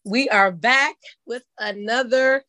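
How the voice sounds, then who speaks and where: Hoarse woman hosting a chat show. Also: on the high side, slow, bright, forceful tone, no echo. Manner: folksy and candid, says "child". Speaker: podcast host. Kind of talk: casual chat.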